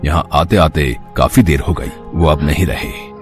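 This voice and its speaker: Guy acting plain village man in a moral story, deep voice, earnest, soft music. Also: measured pace. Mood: grave. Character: village men: mild husband, messenger, doctor. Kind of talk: dramatized Hindi moral story.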